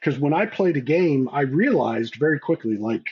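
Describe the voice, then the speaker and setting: Co-host, male: husky, measured and reflective, slightly dull lo-fi recording. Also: on the high side, lively intonation. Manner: reflective, measured, self-analyzing. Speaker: podcast co-host. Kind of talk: casual podcast chat.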